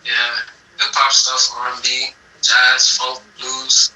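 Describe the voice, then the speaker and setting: A man from the United States whose first language was Arabic, a singer guesting on a casual interview podcast, speaking haltingly with many restarts. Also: mid-range voice, completely flat delivery, pushed and bright, low-quality recording.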